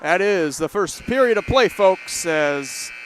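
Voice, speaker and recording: A man calling live hockey play-by-play, brisk and lifting his voice on rushes toward the net. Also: sits high, very animated, fairly bright tone, slight background noise, low-quality recording. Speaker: play-by-play commentator.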